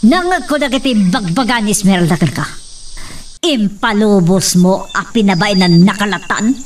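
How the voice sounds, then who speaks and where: A woman's voice: medium pitch, lively intonation, normal speed, recorded from a distance, slight background noise, natural voice. A woman playing a haughty, harsh matriarch in a radio drama, commanding and scolding. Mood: angry, imperious.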